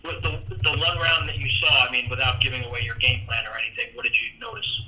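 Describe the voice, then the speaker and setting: Fast-talking male reporter on phone. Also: medium pitch, animated, pushed and bright. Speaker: sports reporter. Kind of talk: conversational press questioning. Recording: phone line with faint noise.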